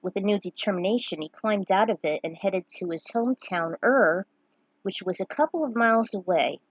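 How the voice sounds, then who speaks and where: A woman's voice: mid-range voice, expressive, moderate pace, breathy edge, no echo, rough recording. Woman casually narrating, close dry sound. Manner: informal asides, interrupting own reading to comment. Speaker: narrator reading own fan fiction. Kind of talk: casual recap and amateur story reading.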